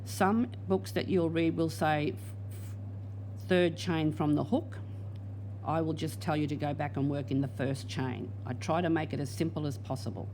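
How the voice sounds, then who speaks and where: Patient woman teaching crochet, hoarse. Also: low-pitched, steady tone, normal speed, mellow, slight background noise, low-quality recording. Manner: patient, step-by-step instruction. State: losing her voice. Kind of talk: crochet tutorial.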